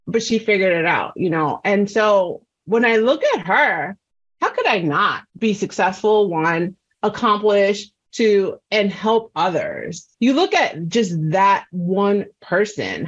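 A woman's voice: mid-range voice, dull audio. A woman in her forties from New York City, a guest telling family stories in an earnest, chatty way full of "you know" and "right?". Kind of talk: casual interview chat. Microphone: video call.

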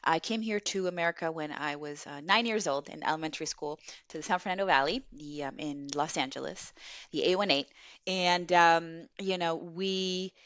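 A woman's voice: deep voice, normal speed, a bit bright, dry recording. A woman guest, a company co-founder originally from Chile, talking in a chatty way with lots of fillers and restarts. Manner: chatty, many fillers and restarts, laughs along. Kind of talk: casual interview.

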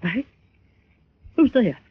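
An actress playing a grand, tippling, easily frightened stage duchess with a declamatory, muttering delivery in old radio style. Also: female voice, drawn-out words. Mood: terrified. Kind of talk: 1940s radio drama acting. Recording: muffled vintage radio recording.

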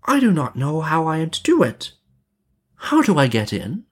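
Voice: mid-range voice, huge pitch swings, normal speed, husky, voice pushed up. A storyteller voicing a frightened little girl from a fairy tale, pleading in a plaintive way. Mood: hesitant. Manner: plaintive, lamenting.

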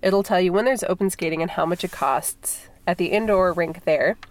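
A woman's voice: on the low side, normal speed. A woman from Minnesota in her thirties, stuffy with a cold, hosting a podcast in a rambling, chatty way with lots of fillers. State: congested from a cold. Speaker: podcast host. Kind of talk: casual chat.

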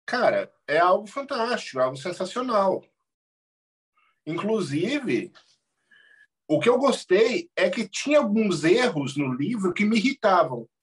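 Emphatic Brazilian man, animated pitch. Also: measured pace. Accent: Brazilian Portuguese. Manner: enthusiastic, emphatic. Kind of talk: casual podcast chat.

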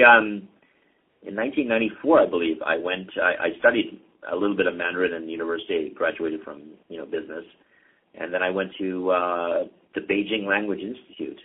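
A man's voice: sits low, husky. A middle-aged Canadian man, a real estate executive, chatting as an interview guest with lots of ums and uhs. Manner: relaxed, many ums and uhs. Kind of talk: casual interview conversation. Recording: tinny phone-like line.

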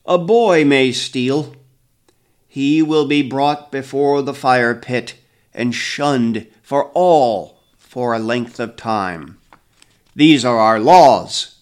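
A man voicing a stern old village elder passing judgment, booming and slow. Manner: booming, commanding, slow proclamations.

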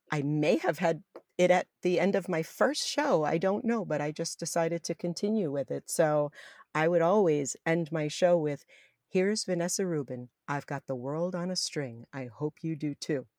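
Deep-voiced middle-aged woman, close and dry. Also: moderate pace, a little mellow, no echo. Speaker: interviewee, former college radio jazz announcer. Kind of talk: reminiscing in an oral history interview.

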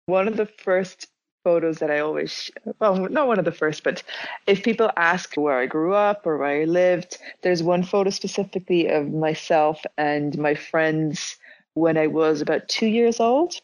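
A young woman from Zimbabwe, raised speaking Dutch, telling stories in a relaxed conversation, reflective and full of small restarts. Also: sits low, normal speed, dry recording, rough recording. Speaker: interviewee. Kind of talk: casual conversation.

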